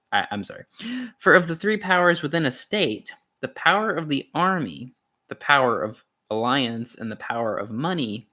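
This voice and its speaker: A man's voice: medium pitch, bright, forceful tone. A man reading an essay aloud as an amateur, stumbling now and then and correcting himself, with a casual touch. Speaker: amateur reader of a philosophy essay.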